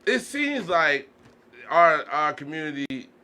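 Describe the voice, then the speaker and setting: A man's voice: a little high, sing-song, slow pace, bright, forceful tone. A man hosting a casual podcast discussion, slangy and repetitive, often checking that people follow him. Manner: slangy, repeats himself, checks listeners follow. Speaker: podcast host. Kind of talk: casual opinionated group chat.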